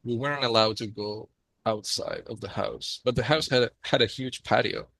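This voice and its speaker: Man from Chile, podcast guest, bright mid voice, chatty with filler words, dry close mic. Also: mid-range voice, normal speed, dry recording. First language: Spanish. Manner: friendly, frequent ums and uhs. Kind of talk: casual interview storytelling.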